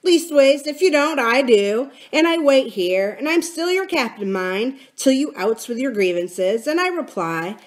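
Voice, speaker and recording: A woman's voice: sits high, sing-song, measured pace, hardly any pauses, pitched above the performer's own voice. A woman voicing a cunning, scornful male pirate captain and sea cook in a storybook, with sardonic, folksy sailor talk. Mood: defiant. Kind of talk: dramatic storybook character reading.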